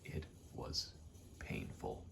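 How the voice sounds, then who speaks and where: A man in his twenties doing a casual ASMR gaming ramble, soft-spoken and often whispering, in a halting, meandering way. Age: 20s. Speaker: ASMR gaming video host. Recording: faint noise, game button clicks. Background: controller clicking, faint background noise.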